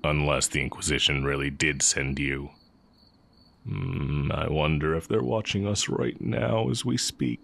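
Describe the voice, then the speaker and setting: A man acting as a sly, coolly teasing heretic magic teacher in an intimate audio roleplay, musing and taunting with drawn-out pauses. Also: very deep voice, vocal fry, fairly bright tone, heavily acted. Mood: teasing, suspicious.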